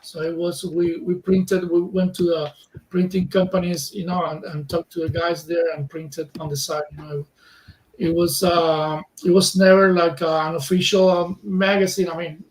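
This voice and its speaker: A man from Cuba, a native Spanish speaker, answering interview questions in English with many pauses and fillers as he searches for words. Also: a little high, reverberant, low-quality recording. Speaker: magazine editor, interview guest. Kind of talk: casual interview.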